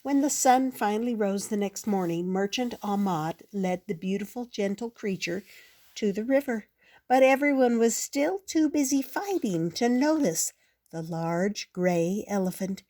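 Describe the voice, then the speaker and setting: An older woman reads a children's picture book aloud in a slow, gentle, expressive way. Her voice is mellow and soft-edged, and the recording is close and dry with no room sound. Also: medium pitch, dry recording. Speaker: storyteller.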